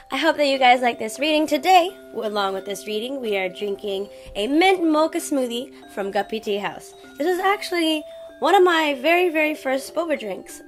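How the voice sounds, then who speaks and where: Casting: female read-aloud host, fairly high voice with lively, swooping intonation, emphatic and theatrical, music behind. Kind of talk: expressive storybook reading with chatty introduction.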